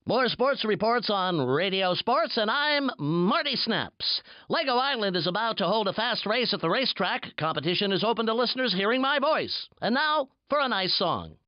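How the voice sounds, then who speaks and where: An actor playing a peppy, goofy cartoon radio DJ with an exaggerated announcer delivery. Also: male voice, high voice, sing-song, moderate pace, few pauses, dry recording, highs cut off. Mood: upbeat, breezy.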